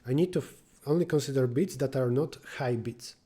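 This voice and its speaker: A man live-streaming his programming work, thinking aloud and answering chat, sometimes dropping to a quiet mutter as he works. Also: mid-range voice, fairly quick, slightly rough. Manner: drops to quiet muttering while working.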